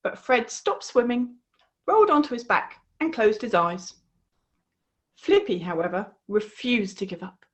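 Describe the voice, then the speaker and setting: A woman reading her children's storybook aloud in an expressive, encouraging way. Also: a little high, unhurried. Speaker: author reading her own book.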